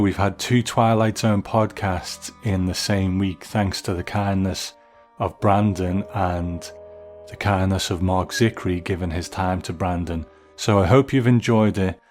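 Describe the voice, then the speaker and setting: A man's voice: low-pitched, darkish tone. A man hosting a podcast, giving casual solo commentary. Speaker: podcast host.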